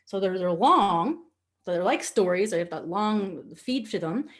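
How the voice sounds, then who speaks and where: A woman with a Nova Scotian Canadian accent teaching an informal online class in an animated, candid, jokey and chatty way. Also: mid-range voice, unhurried. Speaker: social media instructor. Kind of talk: informal webinar teaching with casual asides.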